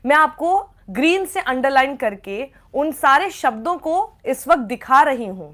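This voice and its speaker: A woman's voice: high-pitched, animated, a little slow, fairly bright tone. A woman journalist delivering opinionated news commentary in a scathing, sarcastic way, often with rhetorical questions. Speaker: journalist presenting a report.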